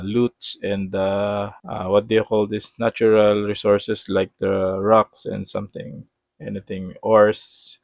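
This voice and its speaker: A man in his thirties with a Filipino accent talks in a fairly low voice, casual and unhurried. He keeps correcting himself and hunting for the right English word as he plays. The recording is close and dry, with no room around it. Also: creaky, no room sound. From Philippines. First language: Tagalog. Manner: rambling, self-correcting, searching for words. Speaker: gaming vlogger. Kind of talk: casual gameplay commentary.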